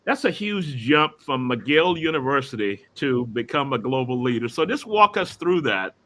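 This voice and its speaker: A man's voice: medium pitch, sing-song, moderate pace, fairly bright tone. A man hosting an interview, encouraging his guest with frequent short backchannels. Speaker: host. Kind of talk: interview conversation.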